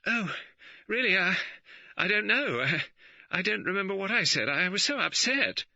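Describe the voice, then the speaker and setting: A man's voice: sits high, sing-song, normal speed, gravelly, sharp tone, voice pushed up, heavily acted. Man putting on a tearful flustered young wife. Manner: breathless, stammering, pleading. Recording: dull, dry studio recording.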